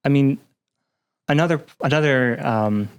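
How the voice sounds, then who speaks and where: A man speaking as a podcast guest, explaining things casually with hesitant, thoughtful, halting phrasing. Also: medium pitch, smooth, no echo. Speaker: podcast guest, game developer and researcher.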